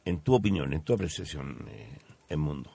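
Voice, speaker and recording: Gravelly, husky Chilean man. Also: very deep voice, big pitch swings, a little fast, warm tone, no room sound. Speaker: radio co-host. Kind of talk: conversational literary interview.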